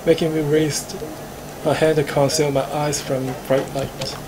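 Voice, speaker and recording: Higher-pitched Hong Kong man reading aloud. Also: level tone, unhurried, background noise. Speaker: streamer reading the protagonist. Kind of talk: live visual novel read-aloud.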